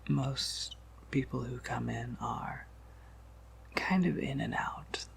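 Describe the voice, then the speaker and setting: A man playing an ancient, courteous vampire apothecary keeper in an ASMR roleplay, in a breathy, gravelly whisper with a hesitant, unhurried manner. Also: mid-range voice, a little hiss or hum. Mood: gentle, welcoming. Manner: hesitant, unhurried, softly chuckling asides.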